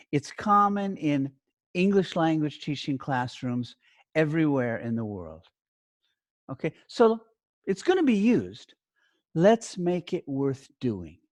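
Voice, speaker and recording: A man teaches in a slow, deliberate way, breaking his instructions into short phrases. His pitch moves around a great deal as he stresses points and checks in with short questions. The sound is close and dry. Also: a little mellow, dry recording. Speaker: teacher trainer giving a lesson. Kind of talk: instructional talk to learner teachers.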